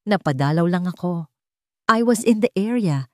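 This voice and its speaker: A woman's voice: fairly low pitch, animated, moderate pace, dry recording, natural voice. A glamorous, spiteful young woman, put on by a female storyteller in a romantic audio drama. She gushes with sugary, insincere kindness and thinly veiled malice. The sound is dry. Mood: fake sweetness. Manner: gushing, falsely sweet. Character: glamorous young female rival, syrupy, fake-kind, venomous.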